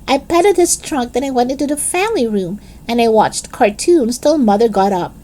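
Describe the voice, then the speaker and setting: A woman narrates a children's chapter book in a fairly high voice. Her pitch swings widely as she tells it in a young child's first person, with dramatic, animated delivery. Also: moderate pace. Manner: animated, dramatic character reading.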